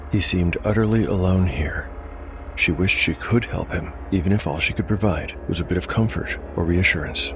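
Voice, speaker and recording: A man narrating a scripted dark fantasy tale as game master, with measured storytelling delivery. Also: deep voice, background noise. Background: music.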